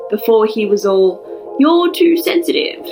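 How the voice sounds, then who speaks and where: A woman's voice: on the high side, lively intonation, a little slow, music in the background, poor audio. A woman playing a chatty, upbeat young commenter, reading an online post within a short story.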